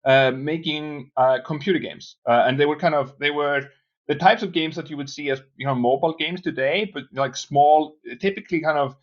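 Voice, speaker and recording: A man's voice: on the high side, normal speed, highs cut off. A man from Iceland, not a native English speaker, answering interview questions in a hesitant way with many restarts and fillers. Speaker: guest, startup founder. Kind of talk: casual interview talk.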